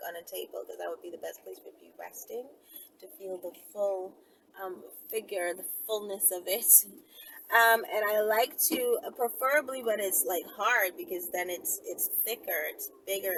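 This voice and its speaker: A woman's voice: mid-range voice, a bit bright. A woman vlogger chatting casually to her viewers from inside a car, informal and digressive as she thinks aloud. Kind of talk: casual rambling chat to viewers. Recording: noisy car interior, clear vehicle noise.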